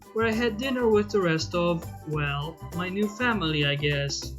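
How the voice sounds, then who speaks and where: A fan fiction reader narrates scripted story prose as the host of the reading. The voice is middle in pitch, and music plays clearly underneath it. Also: a bit bright.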